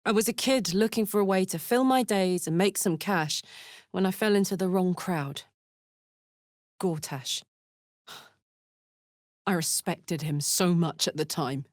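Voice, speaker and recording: A woman voicing a brash, warm-hearted, battle-hardened fantasy warrior in a video game, with a blunt, earthy, emotive delivery. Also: fairly low pitch, a little slow, pauses often, no echo, clean studio sound, close to an everyday voice. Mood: bitter, reflective. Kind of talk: video game character voice acting.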